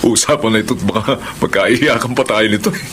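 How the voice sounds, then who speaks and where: A man's voice: on the low side, quick pace, fairly bright tone, slight background noise, lightly acted. A man acting a kindly, slightly forgetful sixty-year-old Filipino stepfather in a radio drama, fatherly and colloquial, full of exclamations. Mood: affectionate.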